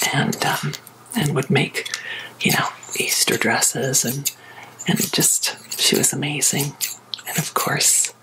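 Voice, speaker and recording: A woman speaks in a hushed, breathy whisper, set low in pitch. She chats casually as an ASMR host would, gently and without hurry, as if confiding in the listener. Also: low-quality recording. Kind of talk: ASMR, casual rambling chat. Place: small sewing and craft room at home.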